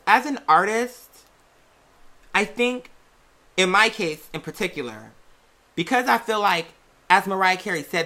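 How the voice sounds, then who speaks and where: A podcast host chatting casually and sassily to listeners, with theatrical, drawn-out emphasis on key words. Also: mid-range voice, bright, forceful tone. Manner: sassy, chatty, addresses listeners as "girl" and "y'all". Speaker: show host and music artist. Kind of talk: casual conversational monologue to listeners.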